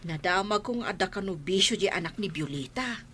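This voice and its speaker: A woman's voice: mid-range voice, expressive, moderate pace, a bit bright, a little hiss or hum, close to an everyday voice. A woman playing a gossiping village neighbor in a radio drama. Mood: gossipy.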